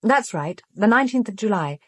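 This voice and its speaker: Gentle british lady detective, husky breathy, lively pitch, polite, earnest, radio play. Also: fairly quick, dry recording, studio quality. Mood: polite, insistent. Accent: British English. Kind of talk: full-cast audio drama acting.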